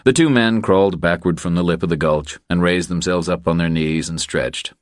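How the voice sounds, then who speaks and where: A man with an extremely low voice narrates a thriller audiobook. The sound is close and dry, as scripted storytelling. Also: normal speed, no room sound.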